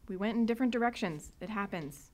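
A woman's voice: mid-range voice, lively intonation, fast, a little mellow, lightly acted. A young female actor playing a guarded, laconic teenage girl in a radio drama, terse and casual. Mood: resigned. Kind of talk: youth radio drama acting.

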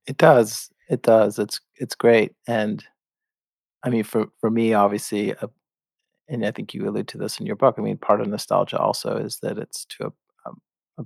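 Male podcast host, gravelly and slightly husky, dry close sound. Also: fairly low pitch, flat delivery, normal speed, dry recording. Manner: hesitant, with restarts. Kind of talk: interview questions.

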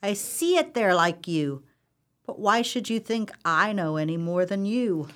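A woman's voice: medium pitch, animated, a little slow, fairly bright tone. A woman acting a stern, impatient sister in a radio play, curt and scolding. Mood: irritated, curt. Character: stern, impatient, sharp-tongued sister who scoffs at fear.

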